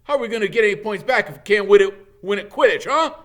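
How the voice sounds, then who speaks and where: A man's voice: high-pitched, expressive, fast, pitched above the performer's own voice. A man voicing a hot-tempered teenage boy team captain, thundering and demanding. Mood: outraged.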